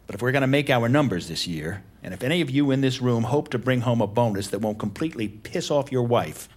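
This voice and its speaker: An actor playing a formal, condescending male senior boss at a finance firm in a screen drama, measured and pressuring. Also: mid-range voice, lively intonation, natural voice. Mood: commanding. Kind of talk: naturalistic screen drama acting.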